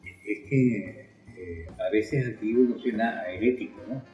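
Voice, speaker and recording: An older man talking reflectively as an interviewed poet, halting as he searches for words. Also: on the low side, a little mellow, poor audio. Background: music.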